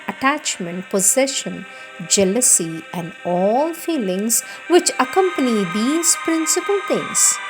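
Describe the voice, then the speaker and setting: A woman reading scripted spiritual teachings in a devotional style, measured and deliberate, pausing between phrases. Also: on the high side, poor audio. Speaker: reader of spiritual texts.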